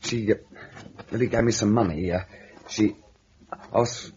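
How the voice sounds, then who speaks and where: An actor playing a nervous, lovesick working-class lodger in an old-time radio drama, stammering, evasive and defensive. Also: male voice, on the low side, measured pace, darkish tone, natural voice. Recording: dull, noisy old radio recording.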